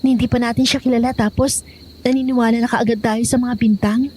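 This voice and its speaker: A woman's voice: on the high side, little pitch movement, fairly quick, a little mellow, slight background noise, lightly acted. A woman playing a polite, playful young expectant granddaughter in an audio horror drama. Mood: worried, hopeful. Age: young adult.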